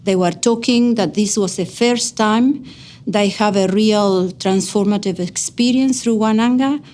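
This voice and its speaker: A woman's voice: mid-range voice, a little slow, dark tone. A middle-aged woman from Argentina with a Spanish accent, a native Spanish speaker, gives a personal account as an educator and researcher, speaking earnestly and leaning on key words.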